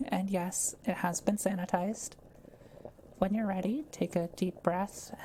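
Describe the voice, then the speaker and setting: A woman playing a kind, quiet witch in an audio roleplay, speaking gently and carefully as she reassures and explains things to the listener. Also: lowish voice, creaky, slight background noise, low-quality recording. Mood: gentle, reassuring. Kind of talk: soft-spoken roleplay audio, talking to the listener.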